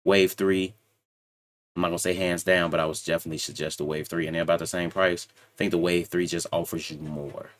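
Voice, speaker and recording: A man with a very deep voice hosts his channel and talks through a microphone review in a loose, self-assured, rambling way. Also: level tone, brisk. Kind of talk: casual tech review talk. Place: home computer setup with a loud PC fan. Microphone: desktop USB microphone.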